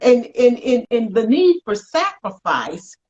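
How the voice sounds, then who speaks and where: A woman hosting a conversational faith-themed interview, speaking in an emphatic, reflective way with drawn-out phrases. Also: on the high side, very animated, a little mellow, dull audio. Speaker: talk show host.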